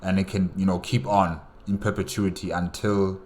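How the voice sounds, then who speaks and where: A man's voice: low-pitched, little pitch movement, moderate pace, a little mellow, slight background noise. A man giving casual, rambling reaction commentary, often hesitating and repeating his phrases. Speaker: reaction video host.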